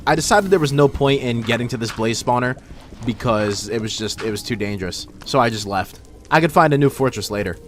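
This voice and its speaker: A man's voice: mid-range voice, animated, brisk, noisy background. A man narrating gameplay in a casual, informal way with wry asides, his voice run through a voice filter. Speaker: gaming video host. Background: background music.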